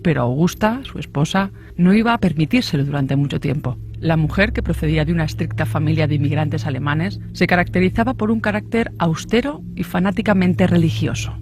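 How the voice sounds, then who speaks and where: A man's voice: on the high side, brisk, slight background noise. A man reading a scripted true-crime narration in the style of a suspense radio story.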